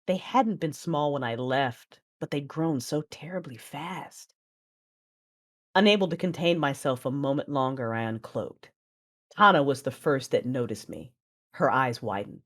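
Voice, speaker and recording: A woman acting as a controlled, proud Sith mother, narrating and speaking in a measured, intense way in an audio drama. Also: rumbling low. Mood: tender. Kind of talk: first-person dramatic narration and dialogue.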